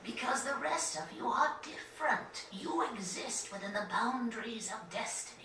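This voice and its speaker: A woman's voice: a little high, expressive, normal speed, pushed and bright. A woman playing a cryptic, haughty old oracle in a video game cutscene, solemn and imperious. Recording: echoey, distant, noisy game capture.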